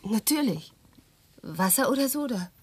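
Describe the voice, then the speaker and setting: An actress playing a tender, concerned lover, breathy and intimate, in radio play dialogue. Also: female voice, on the high side, expressive, a little slow.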